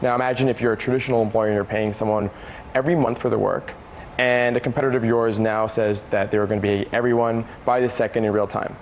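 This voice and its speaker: A man's voice: on the low side, steady tone, fast talker, slight background noise. A man explaining his company's product as a guest in a business interview. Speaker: startup representative, interview guest.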